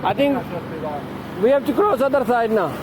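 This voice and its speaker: A man vlogging with friends on a city street at night, talking casually and haltingly with many fillers, switching languages as he goes. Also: extremely high pitch. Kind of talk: casual street vlog chat. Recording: loud street traffic behind.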